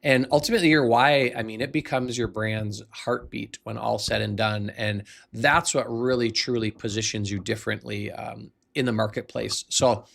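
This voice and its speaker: Gravelly American man telling a motivational story. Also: on the low side, steady tone, normal speed, hardly any pauses, fairly bright tone. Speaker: business coach and speaker. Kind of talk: motivational personal storytelling to camera.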